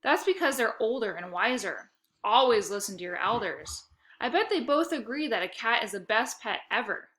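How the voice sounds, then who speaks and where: A woman's voice: fairly high pitch, animated, moderate pace, a bit bright. A woman voicing a bossy, whiny, competitive young girl in a children's storybook. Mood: smug. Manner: insistent, squawking, whiny.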